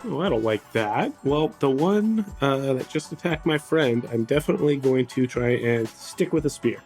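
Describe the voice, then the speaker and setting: A man's voice: medium pitch, lively intonation, moderate pace, darkish tone, faint music behind. A man chatting casually as a player in a tabletop role-playing game.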